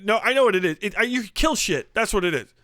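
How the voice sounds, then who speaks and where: A man hosting a livestream in casual, rambling, sweary chat, with an animated way of talking into a close mic. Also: high voice, fast, no room sound. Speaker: livestream host.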